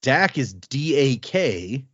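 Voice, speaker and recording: Lively male podcast host reading mail. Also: mid-range voice, takes it slowly, dry recording. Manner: reads with lively asides and comments between lines. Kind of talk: reading a listener email aloud on a podcast. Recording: dry, slightly dull lo-fi sound.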